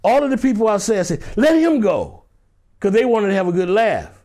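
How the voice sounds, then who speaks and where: High-voiced man telling a lively story. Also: fairly quick. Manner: acts out other people's lines in the story; loose conversational delivery with many "you know" fillers. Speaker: interview guest. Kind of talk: animated anecdotal storytelling.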